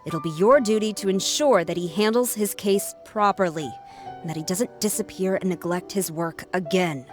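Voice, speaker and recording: A woman's voice: fairly low pitch, normal speed, lightly acted. A woman playing a stern, formal police commander in a video game, speaking with authority over background music. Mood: firm, formal. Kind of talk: scripted video game dialogue. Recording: music behind the voice.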